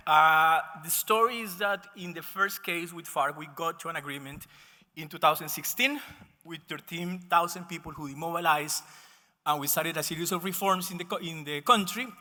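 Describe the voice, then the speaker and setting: A man's voice: sits high, sharp tone. A man with a Colombian accent, a native Spanish speaker, giving an explanatory academic presentation and often correcting himself. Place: conference session room, speaking to an audience. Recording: reverberant conference hall.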